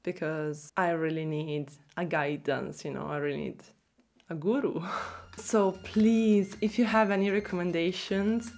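A young woman with an Italian accent, talking casually to camera in a small room about learning a language, expressive and quick to laugh. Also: on the low side. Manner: chatty, expressive, laughs easily.

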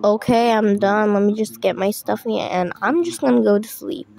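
A young adult woman improvising an animated game roleplay, narrating each action in an offhand way. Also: medium pitch, normal speed, creaky, slight background noise. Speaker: roleplay storyteller voicing all characters.

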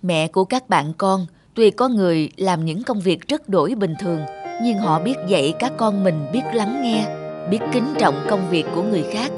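A gentle cartoon mother, voiced by an actress, who speaks with humble, patient earnestness. She measures out her words like a kindly teacher. Music plays clearly behind her. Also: mid-range voice, lively intonation, normal speed, close to an everyday voice. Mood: gently admonishing. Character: gentle, humble mother, a famous woman novelist. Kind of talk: animated moral-tale dubbing.

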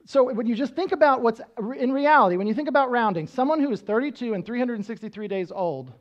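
A man in his thirties preaches from a church stage, pacing and gesturing as he teaches in a relaxed, conversational way. His voice sits fairly high for a man. The sound is a bit dull and muffled. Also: fast. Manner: conversational teaching, frequent "right?" check-ins; walks around the stage gesturing. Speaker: pastor. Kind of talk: sermon. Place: church stage with band instruments.

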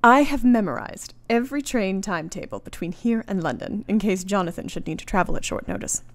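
A woman's voice: mid-range voice, animated, brisk, natural voice. A woman playing a capable, concerned young married woman in a radio drama, composed, precise and polite. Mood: alarmed, resolute.

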